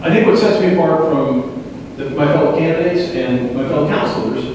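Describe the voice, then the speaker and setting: A man speaking as a city council candidate in a debate, measured and businesslike, with a few hesitations. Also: fairly high pitch, noisy background. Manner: measured, businesslike, some "uh" fillers. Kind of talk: debate answer. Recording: echoey hall, distant mic.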